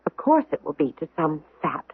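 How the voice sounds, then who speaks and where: A woman's voice: low-pitched, big pitch swings, moderate pace, breathy edge, dry recording, full character voice. An actress playing a sensitive, plaintive young Roman girl in a 1970s radio drama. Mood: fretful. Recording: dry, muffled vintage radio broadcast.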